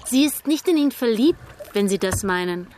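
Actress as reserved young gentlewoman, period radio drama. High voice, earnest, hesitant, quietly stubborn. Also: lively intonation, moderate pace, a little hiss or hum, lightly acted. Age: young adult. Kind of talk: radio drama dialogue, period literary adaptation.

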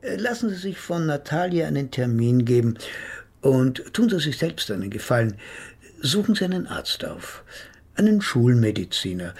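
A man's voice: medium pitch, breathy edge. An actor playing a self-assured, pompous male psychotherapist in a German radio play, speaking in elaborate, theatrical phrases. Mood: genial. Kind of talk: German radio play, dialogue and first-person narration.

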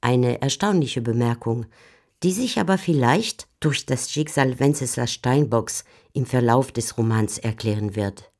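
A man's voice: medium pitch, a little slow, a little mellow. A man delivering scripted, essayistic literary commentary as a radio narrator.

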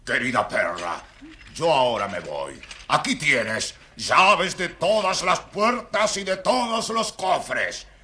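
An actor playing a big, brutish, wicked rich lord with a booming, pompous voice in a children's audio storybook. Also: male voice, big pitch swings, takes it slowly, sharp tone, full character voice. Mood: commanding. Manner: pompous, theatrical. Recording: noisy old children's story record.

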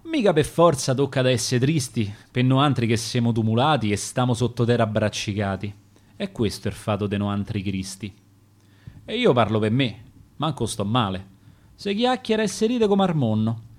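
Man reading a dead Roman pauper, relaxed, resigned tone. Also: mid-range voice, moderate pace, natural voice. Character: cheerful dead poor man in mass grave.

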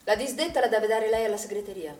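A woman's voice: medium pitch, quick pace, darkish tone, lightly acted. An actress playing a firm, defensive woman manager, curt and formal, in a stage drama. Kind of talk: stage theatre acting. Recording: echoey room, distant mic, background noise.

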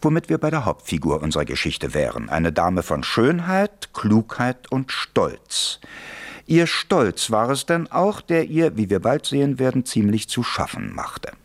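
Male narrator for radio drama; wry, theatrical, very lively intonation. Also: lowish voice, unhurried. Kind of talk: scripted radio play narration.

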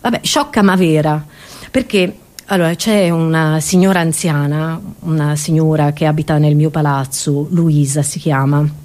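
A woman's voice: low voice, fairly even intonation, normal speed, a little mellow. A woman comedian telling a comic story to an audience in a confiding, conversational manner.

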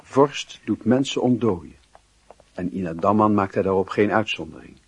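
A man's voice: on the low side, lively intonation, moderate pace, slightly rough, no room sound. A man reading scripted literary narration for a radio drama.

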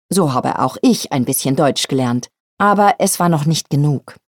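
A woman's voice: sits low, a little mellow, no room sound, studio quality. A woman from Peru, a native Spanish speaker, giving a scripted first-person account.

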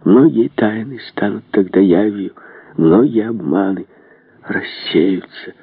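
An agitated, irritable prince played by a male reader in a storybook, swinging between bluster and anguish. His voice is husky and climbs well up when he frets, heard through a narrow phone-like band. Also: lowish voice, lively intonation, takes it slowly, no echo, exaggerated voice. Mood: fretful. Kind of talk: dramatised audiobook character reading.

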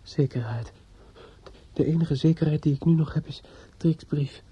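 An actor in a radio play playing a distraught, bitter young man in grief, speaking haltingly with stammers and repetitions. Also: fairly high pitch, mellow, husky. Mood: bitter despair. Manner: halting, stammering, repeats himself. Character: distraught, bitter young bridegroom-to-be, grieving and obsessive. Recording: dull lo-fi radio play recording.

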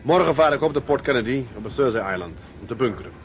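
An actor playing a sensible, weary tugboat captain, calming and matter-of-fact, in a radio drama. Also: male voice, medium pitch, fast talker, a little hiss or hum, close to an everyday voice. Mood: firm. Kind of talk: Dutch radio drama acting.

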